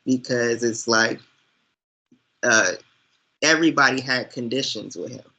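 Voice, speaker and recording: Slow, bright-voiced man chatting as a guest. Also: medium pitch, poor audio. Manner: reflective, halting, explaining in long winding thoughts. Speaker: guest, online movie reviewer. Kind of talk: casual chat.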